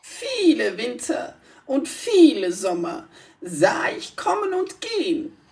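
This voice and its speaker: A woman voicing a tiny ancient gnome in a children's audio play, gently murmuring with patient, whispery slowness. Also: fairly high pitch, huge pitch swings, gravelly, distant mic, exaggerated voice. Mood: gentle, soothing. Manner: slow, soothing murmur.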